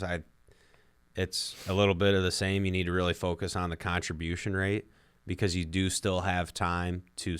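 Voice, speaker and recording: Smooth, even-toned low young man. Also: no echo, studio quality. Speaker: co-host and financial advisor. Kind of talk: conversational personal finance advice show.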